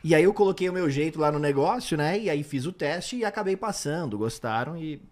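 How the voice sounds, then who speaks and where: Animated man with slight American accent. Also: fairly high pitch, fairly quick. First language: English. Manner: animated storyteller. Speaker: sports commentator. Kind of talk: casual podcast chat.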